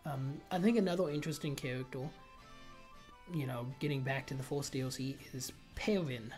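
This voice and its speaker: A man's voice: medium pitch, moderate pace, darkish tone. The man you'd hear on a gaming channel's theory video, rambling casually through his guesses with a slight speech impediment while background tracks play along. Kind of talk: casual video commentary, speculating. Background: background music.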